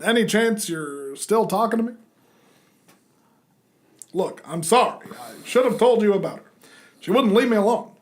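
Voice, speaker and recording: Hapless henchman husband, read by a man, high voice, casual and a bit whiny, amateur comedy reading. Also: lively intonation, normal speed, pauses often. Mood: apologetic.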